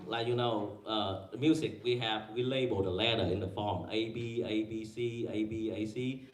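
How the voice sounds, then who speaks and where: Male panel presenter, mid-pitched and halting, heavy fillers, quizzes the room, recorded from a distance. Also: a little mellow. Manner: halting, many fillers and restarts, quizzes the audience. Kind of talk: academic presentation with slides. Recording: echoey room, mic far away.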